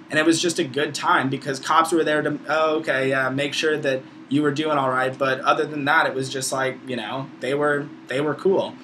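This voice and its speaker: Male, about 20, American accent, informal college vlogger, opinionated and profane, bright forceful voice, slightly noisy recording. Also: medium pitch. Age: around 20. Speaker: video blogger, college student. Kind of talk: casual opinionated vlog monologue.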